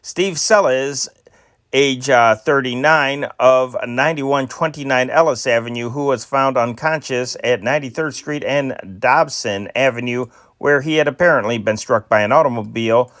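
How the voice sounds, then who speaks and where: A man reading old newspaper items aloud at an ordinary middle pitch, in a plain, non-professional delivery. He trips over unfamiliar names now and then before pressing on. His voice is close and dry, with no room sound around it. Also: normal speed, fairly bright tone, no room sound. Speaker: newspaper reader.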